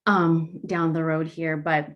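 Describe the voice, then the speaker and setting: A woman founder giving a casual pitch talk, speaking slowly with many filler ums. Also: little pitch movement, highs cut off. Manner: slow-talking, many ums. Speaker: startup founder presenting.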